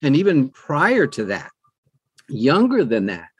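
A man's voice: on the high side, huge pitch swings, unhurried, husky, no echo, muffled recording. An older man, an audiobook narrator, talking conversationally as an interview guest and telling anecdotes.

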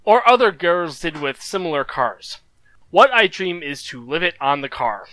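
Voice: mid-range voice, very animated, moderate pace, fairly bright tone, no echo. A co-host reading posts aloud in a playful, stumbling way during casual comedic chat.

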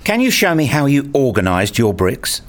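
A man's voice: mid-range voice, sing-song, normal speed, close to an everyday voice. An actor playing an enthusiastic, curious grown man who narrates his visit in a scripted radio play. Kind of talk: scripted first-person narration and acted dialogue.

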